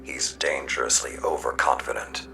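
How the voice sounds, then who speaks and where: An actor playing a stern, distrustful veteran commander in a video game, with a gravelly voice and a grave, commanding manner. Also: male voice, a bass voice, flat delivery, normal speed, over music. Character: stern, distrustful veteran male military commander, battle-hardened. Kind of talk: dramatic video game cutscene acting.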